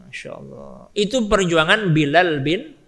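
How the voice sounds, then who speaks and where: A man's voice: on the high side, expressive, measured pace, gravelly, a bit bright. A man preaching in a storytelling style, emphatic, repeating phrases and putting questions to his listeners. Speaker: Islamic preacher. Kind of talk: storytelling sermon to a live congregation. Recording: long hall reverb.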